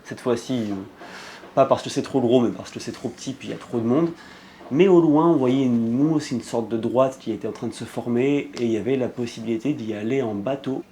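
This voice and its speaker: A man narrating a surf trip with friends over the footage, easygoing and unhurried. His voice sits in a middle register and he often hesitates between phrases. Light background noise is heard behind him. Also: mid-range voice. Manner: relaxed, hesitant with many fillers.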